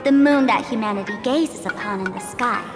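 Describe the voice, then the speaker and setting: Actress playing cryptic game woman, long echoing reverb, solemn recitation, highish voice, loud music. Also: a little fast, close to an everyday voice. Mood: mysterious. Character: cryptic woman reciting a riddle about the moon. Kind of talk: scripted game dialogue.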